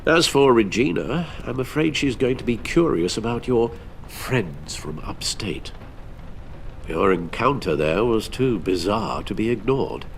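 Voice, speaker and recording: Creaky-voiced, weary butler. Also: male voice, lowish voice, lively intonation, a little slow, slight background noise. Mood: concerned. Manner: formal, gently worried. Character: weary, loyal, polite male butler and confidant.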